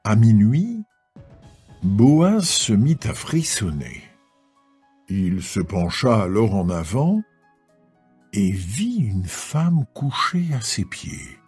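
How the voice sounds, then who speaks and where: A man reads scripture as a solemn narrator. His low voice is very husky and mellow, with music underneath. The storytelling is measured and scripted. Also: sing-song.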